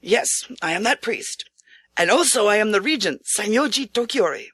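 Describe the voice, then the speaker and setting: A woman's voice: mid-range voice, expressive, moderate pace, a bit bright, breathy edge, no echo, clean studio sound. A woman voicing a commanding yet kindly male regent in a storybook, with a stately, reassuring manner. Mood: revealing, stately. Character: commanding, magnanimous old regent lord, male.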